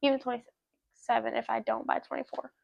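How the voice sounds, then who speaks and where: Female podcast co-host, late teens, high and fry-laden, informal, dry muffled recording. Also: little pitch movement, a little fast, no room sound. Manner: informal, heavy use of "like". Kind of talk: casual podcast chat.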